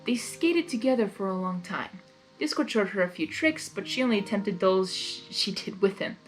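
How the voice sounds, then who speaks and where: A woman's voice: mid-range voice, animated, moderate pace, soft background music, poor audio. A woman reading a story aloud expressively, with informal, reactive asides. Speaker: story reader. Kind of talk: expressive fan fiction read-aloud with chatty asides.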